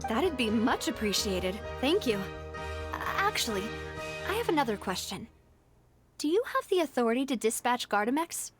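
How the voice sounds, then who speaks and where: A woman's voice: fairly high pitch, big pitch swings, moderate pace, faint music behind. An actress playing a confident, polite young female detective in a video game, courteous with a pointed, teasing edge. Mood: polite, earnest.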